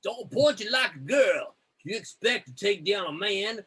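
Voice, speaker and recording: A man voicing a stern martial arts master in a theatrical comic reading, solemn and commanding, with deliberate phrasing. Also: sits high, expressive, a bit bright, husky, full character voice.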